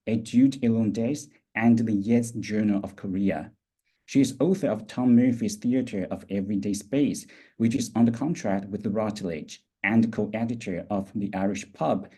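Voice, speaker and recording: A man's voice: on the low side, warm tone, breathy edge. A man reading formal academic introductions of speakers and their work as an editor at a roundtable.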